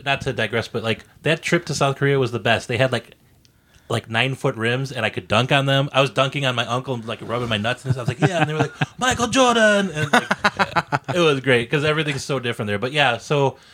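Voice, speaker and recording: A man co-hosting a casual podcast, telling stories with boastful, deadpan jokes. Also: medium pitch, quick pace. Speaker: podcast co-host.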